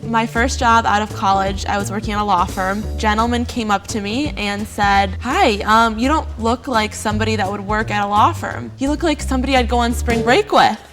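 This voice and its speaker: A confident woman in her twenties tells a story from her own life. Music plays clearly underneath her. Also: fairly high pitch, a little fast, few pauses, a bit bright. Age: 20s. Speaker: interview participant. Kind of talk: casual group discussion.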